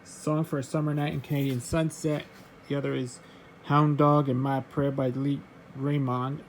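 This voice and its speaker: A man casually showing his record collection, reading out titles in a halting, offhand way. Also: medium pitch, level tone, a little hiss or hum, low-quality recording. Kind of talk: casual show-and-tell vlog.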